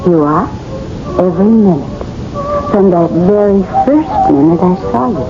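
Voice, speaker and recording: An actress playing a cool, teasing mistress with a languid, wry manner in a 1970s radio drama. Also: female voice, medium pitch, sing-song, moderate pace, background noise. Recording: muffled 1970s radio broadcast over music.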